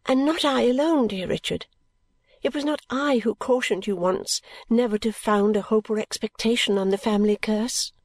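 Actress as gentle young woman, classic novel dialogue: sincere, pleading, mildly reproving, very dry recording. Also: on the high side, moderate pace, no room sound. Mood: reproachful.

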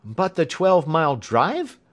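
A man's voice: a little high, very animated, measured pace, pitched above the performer's own voice. A man voicing an earnest, shaken engineer in a story reading, speaking emphatically. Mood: urgent.

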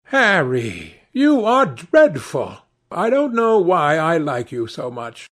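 A man voicing an earnest, idealistic young gentleman in an audiobook, speaking fervently. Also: fairly high pitch, huge pitch swings, takes it slowly, pitched above the performer's own voice, full character voice. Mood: ardent protest. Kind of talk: audiobook character dialogue.

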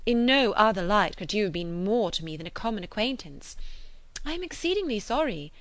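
A woman's voice: mid-range voice, big pitch swings, brisk, a bit bright, no echo, pitched above the performer's own voice. Well-bred young lady for a period audiobook, acted by a woman narrator: proud, indignant, formal and emphatic, in a dry recording. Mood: affronted, cool. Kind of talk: dramatised classic novel reading.

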